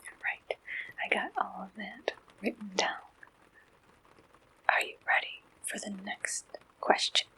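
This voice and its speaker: A woman whispering an ASMR roleplay as an attentive dating service matchmaker, speaking breathily and deliberately in short phrases. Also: medium pitch, bright, forceful tone, breathy edge, rough recording. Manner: slow, deliberate questionnaire-style delivery in short phrases.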